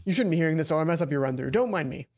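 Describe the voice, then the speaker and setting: A man reading the lines of an excited young male student inventor from a visual novel, fast and earnest. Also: on the high side.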